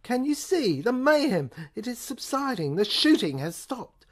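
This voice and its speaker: Audio-play sergeant, performed by a woman: agitated, excitable, loud, pitched up in short exclamations. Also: measured pace. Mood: excited. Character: agitated, mumbling male police sergeant.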